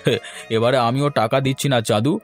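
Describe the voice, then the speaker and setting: A man playing a grumpy, stingy village husband in a fairy-tale cartoon, gruff, dismissive and argumentative. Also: mid-range voice, steady tone, fast, faint music behind, lightly acted.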